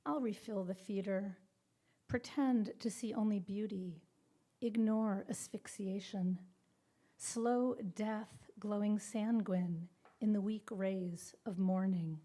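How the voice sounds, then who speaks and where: An older woman reads poetry in a soft voice, with measured, deliberate phrasing and breathy phrase endings. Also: medium pitch, steady tone. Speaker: poet reading own work. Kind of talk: poetry reading.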